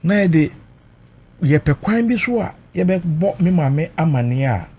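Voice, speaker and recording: Ghanaian man, husky voice, lively pitch movement, emotional recounting, emphatic repetition, Twi-English code-switching. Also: slow pace.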